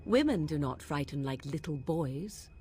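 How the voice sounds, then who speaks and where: A woman's voice: low voice, animated, mellow, faint music behind. Haughty young wilds witch. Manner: haughty, archaic speech, slow and measured. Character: aloof mocking young witch woman of the wilds. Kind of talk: video game voice acting.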